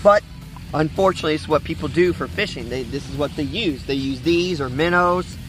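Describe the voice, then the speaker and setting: A man's voice: fairly high pitch, fairly quick, music in the background, low-quality recording. An American man chatting casually to viewers in a folksy way, outdoors on a handheld camera. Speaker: vlog host, father fishing with family. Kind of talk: casual outdoor fishing vlog chat. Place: outdoors beside a park pond. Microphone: handheld phone camera a few feet away. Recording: outdoor ambient noise, handheld camera mic.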